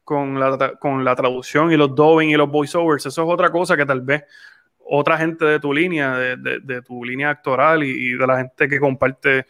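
A man's voice: mid-range voice, fairly even intonation, moderate pace, fairly bright tone. A man in his twenties with a Puerto Rican accent, hosting a podcast in casual, rambling chat. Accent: Puerto Rican Spanish. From Puerto Rico. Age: 20s. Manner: rambling, frequent restarts and fillers. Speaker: podcast host.

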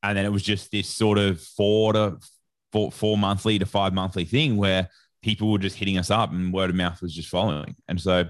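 A man's voice: deep voice, flat delivery, normal speed, no room sound, studio quality. A man with an Australian accent telling a story casually as an interview guest, in slangy, informal speech full of reported dialogue. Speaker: interview guest, sports nutrition educator.

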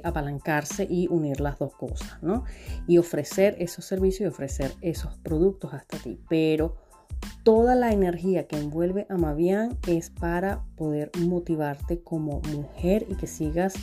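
A woman from Venezuela with a Venezuelan accent, hosting a podcast interview and filling pauses with many "eh" and "este" sounds. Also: fairly low pitch, dark tone. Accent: Venezuelan Spanish. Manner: hesitant, many fillers. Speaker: podcast host. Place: home setup, recording at night.

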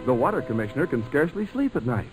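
A man's voice: mid-range voice, big pitch swings, fast talker, music in the background, poor audio. A man announcing in polished, upbeat 1940s radio style, selling and narrating. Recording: old radio broadcast transcription.

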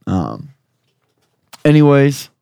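A young man hosting a podcast, hoarse from screaming, talking in a rambling, profane, jokey way. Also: mid-range voice, huge pitch swings, drawn-out words, warm tone, dry recording, clean studio sound. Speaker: podcast host. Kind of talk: casual chat.

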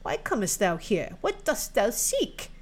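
A woman's voice: medium pitch, huge pitch swings, unhurried. A woman voicing a solemn, stately winter spirit. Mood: stern questioning. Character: grave, great male spirit of January.

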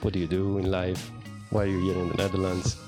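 A man with a low voice hosts a relaxed interview podcast. He asks easygoing questions, and faint music sits under his voice. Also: fast talker. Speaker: podcast host. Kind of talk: casual interview chat.

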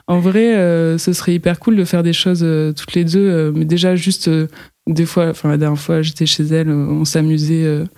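A woman's voice: deep voice, fairly even intonation, moderate pace, mellow. A woman, a fashion model, talking casually in an interview, hesitant and full of fillers and restarts.

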